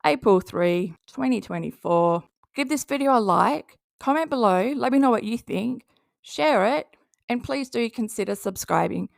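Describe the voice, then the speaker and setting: A woman's voice: a little high, animated, normal speed, vocal fry, no echo, clean studio sound. A woman with an Australian accent giving casual, sarcastic commentary and reading posts aloud in a blunt, mocking way. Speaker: commentary video host.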